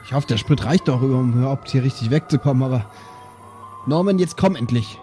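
Man as an easygoing, mellow alien dad. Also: medium pitch, animated, fairly quick, faint music behind, natural voice. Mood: impatient. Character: easygoing, simple-minded alien father. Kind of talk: improvised pen and paper audio play.

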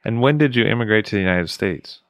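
Brisk, low-voiced male podcast host. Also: expressive, dry recording, clean studio sound. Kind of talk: interviewing.

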